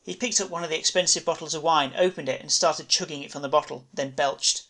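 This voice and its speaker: A man's voice: fairly high pitch, fast, a bit bright. A man reading a scripted comic story as its narrator. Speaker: narrator and writer.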